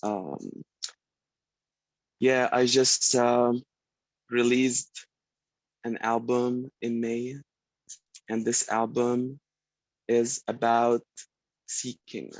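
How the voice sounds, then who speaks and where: A man with an Egyptian Arabic accent, a native Arabic speaker, introducing himself on a video call, gentle and hesitant with many pauses and ums. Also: medium pitch, no room sound, poor audio. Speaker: participant introducing themself. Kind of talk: casual self-introduction.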